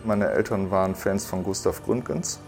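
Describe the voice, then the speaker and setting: Deep-voiced man in his sixties, reflective. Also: moderate pace, gravelly, a little mellow, faint music behind. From Düsseldorf, Germany. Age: 60s. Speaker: interviewee, Wikipedia editor. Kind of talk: reflective interview talk.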